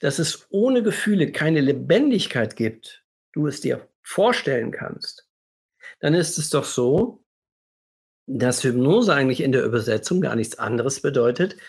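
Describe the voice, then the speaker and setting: A man with a scratchy, husky voice, slightly under the weather, giving an emphatic explanatory livestream monologue as a hypnotherapist, stretching key phrases and posing rhetorical questions. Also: on the high side.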